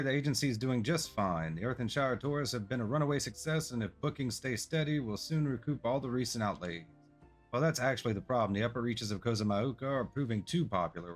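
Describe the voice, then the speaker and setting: A man's voice: medium pitch, natural voice. A man reading the part of a busy, businesslike travel agency boss from a fantasy video game.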